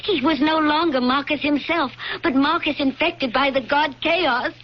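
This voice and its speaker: A woman's voice: sits high, normal speed, lightly acted. An actress playing a passionate young noblewoman of ancient Pompeii in a radio drama, earnest and pleading in formal diction. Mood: desperate pleading. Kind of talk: classic radio drama acting. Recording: dull, noisy old radio broadcast.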